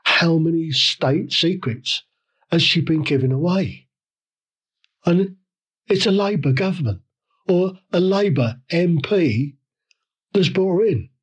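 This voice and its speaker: Slightly croaky man ranting. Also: fairly high pitch, animated. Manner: indignant, rhetorical questions, halting restarts. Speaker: podcast host. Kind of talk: solo opinion rant.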